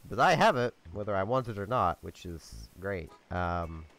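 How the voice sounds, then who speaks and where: A young man hosts a gameplay video in a flat, monotone voice that sits on the low side and carries a slight scratch. He rambles easily and fills his speech with "like"s. Faint music plays underneath. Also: moderate pace. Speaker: let's play host. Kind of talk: casual gameplay commentary.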